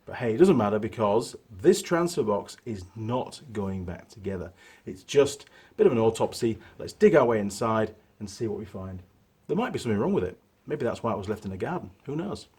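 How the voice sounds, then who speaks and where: A man, hoarse from a cold, hosting his workshop channel and chatting casually through a gearbox teardown with plenty of fillers. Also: fairly low pitch, brisk. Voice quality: husky. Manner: chatty, relaxed, rambling with fillers.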